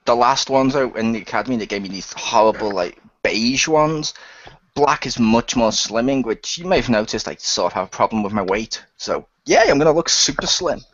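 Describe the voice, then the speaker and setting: A man's voice: mid-range voice, expressive, normal speed, a bit bright, highs cut off. A man bantering casually as a player on a role-play show.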